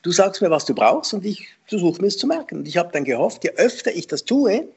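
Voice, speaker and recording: A man, a memory trainer telling lively, self-deprecating anecdotes in an interview and laughing often. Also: sits high, brisk, vocal fry, breathy edge. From Switzerland. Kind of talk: conversational storytelling interview.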